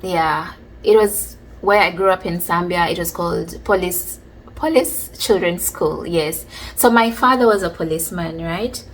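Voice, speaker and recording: A woman, casually telling stories from her childhood in a chatty, relaxed way. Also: fairly low pitch, measured pace, a little hiss or hum, low-quality recording. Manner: chatty, relaxed, many fillers like "like" and "you know". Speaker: vlogger. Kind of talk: casual storytelling about childhood.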